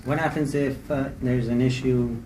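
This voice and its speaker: A man's voice: mid-range voice, fairly even intonation, normal speed, noisy background. A man interviewing, asking brief probing questions from away from the microphone.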